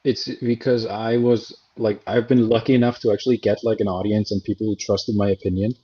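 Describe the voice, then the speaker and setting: A man's voice: on the low side, flat delivery, a little fast, husky. Young man from Iceland, dull lo-fi sound. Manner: easygoing, laughs often. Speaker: guest, lightsaber reviewer. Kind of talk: casual chat.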